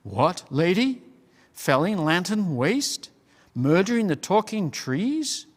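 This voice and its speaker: A man's voice: high-pitched, sing-song, takes it slowly, voice pushed up, exaggerated voice. A man voicing a noble, rash young king who speaks in a commanding, indignant way. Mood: outraged. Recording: long hall reverb.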